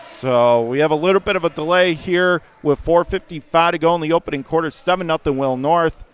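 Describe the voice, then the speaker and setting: A man doing live radio play-by-play of a basketball game in punchy short phrases, getting excitable on big plays. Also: a little high, brisk, clear, resonant tone. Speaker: radio play-by-play sports announcer. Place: indoor school gymnasium during a game.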